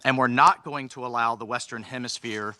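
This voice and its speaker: A man delivering a formal prepared speech at a measured pace, reading from a text. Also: medium pitch, steady tone, bright, forceful tone, dry recording. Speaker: official giving a speech.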